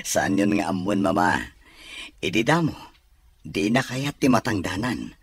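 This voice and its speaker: A man playing a kind, pleading grown son of a rich family, with a very husky, breathy voice, in an Ilocano radio drama. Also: lowish voice, animated, unhurried, exaggerated voice. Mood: pleading, explaining.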